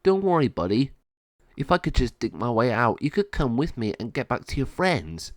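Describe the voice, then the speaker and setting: A man's voice: mid-range voice, big pitch swings, moderate pace, no room sound, studio quality, lightly acted. A man voicing a weary but friendly, determined caged dog in a story, with an emphatic, buddy-like manner. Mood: reassuring.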